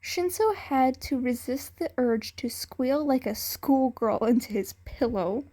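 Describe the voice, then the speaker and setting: Female narrator for amateur story reading: high voice, slow, measured pacing. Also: lively intonation, mellow. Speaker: fan fiction narrator.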